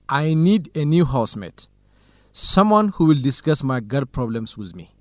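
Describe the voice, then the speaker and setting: A man's voice: mid-range voice, very animated, slow pace, no room sound, studio quality. An actor playing an earnest, lovesick young male student researcher in an educational radio drama, talking in plain, simple sentences. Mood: lovesick, wistful.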